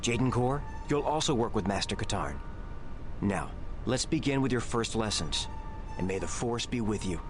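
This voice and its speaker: An actor playing an earnest Jedi grand master who leads an academy in a video game, speaking in a measured, formal way. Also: male voice, on the low side, expressive, natural voice. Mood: formal, welcoming. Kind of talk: scripted video game voice acting. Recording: music behind the voice.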